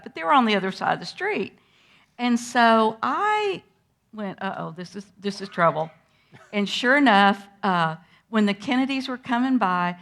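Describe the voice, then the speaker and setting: Lively older woman telling a story. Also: mid-range voice. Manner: lively, animated storyteller who acts out moments. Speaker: interview guest recalling a historic day. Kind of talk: storytelling to schoolchildren.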